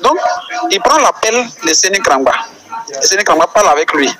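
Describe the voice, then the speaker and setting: A man's voice: high voice, sing-song, brisk, rough recording. A man with an Ivorian French accent whose first language is Baoulé, leaving a voice message that explains a controversy and firing off indignant rhetorical questions. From Côte d'Ivoire. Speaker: caller.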